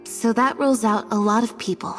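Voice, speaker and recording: A woman voicing a cool, analytical young woman thinking through a mystery in a video game, measured and musing. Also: fairly high pitch, steady tone, in a large hall, soft background music, natural voice. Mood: musing, suspicious.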